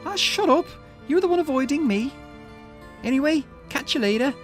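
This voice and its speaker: A man's voice: extremely high pitch, expressive, brisk, soft background music, voice pushed up. A man voicing a brash, curt teenage girl in a visual novel game. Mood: gruff fondness. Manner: curt, tough, grudging.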